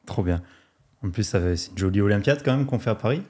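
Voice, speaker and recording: Low-voiced French podcast host. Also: male voice, lively intonation, fast, a little mellow, slightly rough. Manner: friendly, direct address to listeners. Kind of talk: podcast interview.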